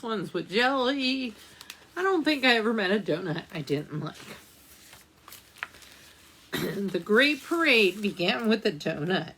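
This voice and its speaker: A woman's voice: medium pitch, a bit bright, slight background noise. A woman reading a picture book aloud for children's storytime, speaking to young listeners as she goes. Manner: addresses young listeners with questions and asides. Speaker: librarian storytime reader.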